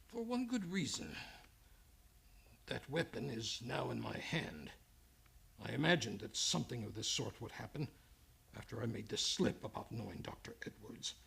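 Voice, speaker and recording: An actor playing a cunning older psychiatrist turned murderer in an old-time radio drama, speaking deliberately and condescendingly with hesitant repeats. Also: medium pitch, big pitch swings, gravelly, a bit bright, husky, exaggerated voice. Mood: cold, cornered.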